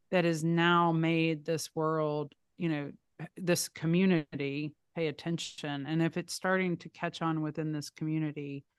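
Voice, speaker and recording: Woman chatting casually, smooth clear voice, kinda hesitant, restarts a lot. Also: sits low, dry recording, studio quality. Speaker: podcast guest. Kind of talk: casual conversational chat.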